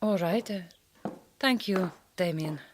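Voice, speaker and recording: An actress playing a young Hungarian migrant farm worker in a radio drama, polite and self-assured, with careful, formal phrasing and a Hungarian accent. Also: female voice, lowish voice, slow pace, slight background noise.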